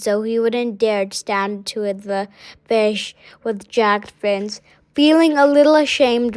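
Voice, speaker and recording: A woman with a high voice reads a children's story aloud. Her pace is very slow and halting, with small stumbles as she goes. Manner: halting, stumbling over words. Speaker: storybook reader.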